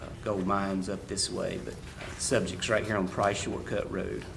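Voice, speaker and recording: A man, a county appraiser, presenting property cases at a public board meeting in a halting way. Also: deep voice, little pitch movement, creaky, background noise. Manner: halting, many fillers and restarts.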